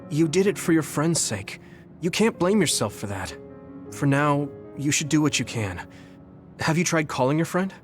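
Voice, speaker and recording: A man's voice: on the high side, expressive, moderate pace, faint music behind, close to an everyday voice. A man playing an earnest, caring young military recruit in a video game, sincere and supportive. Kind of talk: English video game voice acting.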